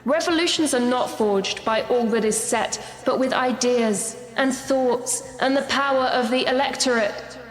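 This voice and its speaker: A woman's voice: a little high, measured pace, natural voice. A woman playing an earnest young poet running as a political candidate in a radio play. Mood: rousing, impassioned. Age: young adult. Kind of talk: radio drama acting. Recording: echoey hall, music behind.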